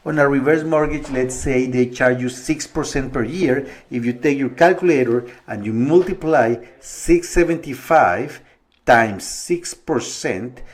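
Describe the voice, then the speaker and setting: A middle-aged man explains money matters in a conversational video, working through the figures one step at a time. His voice sits at an ordinary middle pitch for a man, with small restarts as he goes. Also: a little slow. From Colombia. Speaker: mortgage broker. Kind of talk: explanatory video monologue to viewers.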